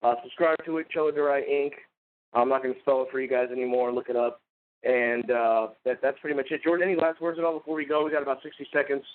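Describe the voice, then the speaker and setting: A man's voice: mid-range voice, level tone, fast. A man hosting a podcast, wrapping up the show with effusive thanks and rambling sign-offs. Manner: effusive, rambling with fillers and restarts. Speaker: podcast host. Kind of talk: casual show outro. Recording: phone-like narrow line.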